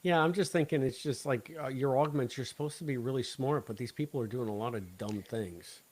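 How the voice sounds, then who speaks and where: A man with an average-pitched voice talks as a podcast co-host. He speaks in a casual, conversational style. His takes come out blunt and opinionated. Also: a little fast, darkish tone, dry recording. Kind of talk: casual chat, episode discussion.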